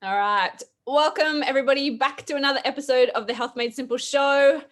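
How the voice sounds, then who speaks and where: An Australian woman with a slightly raised pitch and a croaky voice hosts a live online show. She talks in a loose, chatty way, with plenty of fillers and laughter between thoughts, on a computer mic over a video call. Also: a little fast. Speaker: podcast host. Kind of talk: casual live-stream chat.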